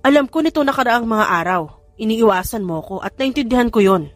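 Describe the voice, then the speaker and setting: A man's voice: extremely high pitch, animated, fairly quick, no room sound, faint music behind. A woman voicing a desperate, lovesick young man who pleads with his ex in a storytelling audiobook. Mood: pleading, hurt.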